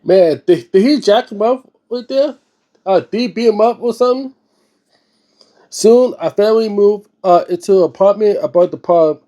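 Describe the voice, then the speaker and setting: Guy w a pretty high but mellow voice reading a book out loud, kinda stumbles, chimes in casually like hes talking to u. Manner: stumbles over words while reading, informal interjections. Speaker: host reading a book aloud and reacting. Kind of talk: read-aloud narration with casual, off-the-cuff reactions.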